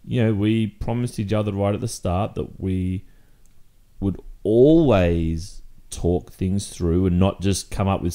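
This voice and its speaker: A man playing a jealous young Australian boyfriend in an intimate ASMR roleplay. His voice is low and soft-edged, dark and mellow. He confronts his girlfriend haltingly, hesitating as he airs his grievances. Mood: uneasy, reproachful.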